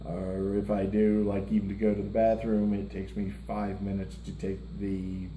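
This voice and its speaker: A man's voice: fairly low pitch, monotone, moderate pace. A middle-aged man co-hosting a music reaction show, talking casually with blunt, self-mocking humor while seated at a table. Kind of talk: casual chat. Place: indoor room, seated at a table before a lit curtain. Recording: roomy room with faint hiss.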